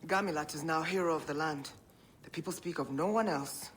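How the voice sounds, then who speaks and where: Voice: mid-range voice, fairly quick, fairly bright tone, slight background noise, lightly acted. An actor playing a troubled, compassionate ally of village rebels in a video game, earnest and sober. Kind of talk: video game cutscene acting.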